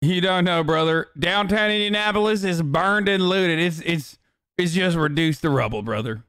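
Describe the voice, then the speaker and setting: High-pitched, clear-voiced man reacting on stream. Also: moderate pace, a bit bright, no room sound, clean studio sound. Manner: sarcastic, incredulous, swearing, repeats himself for emphasis. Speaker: streamer reacting to a recorded call. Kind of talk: live stream reaction commentary.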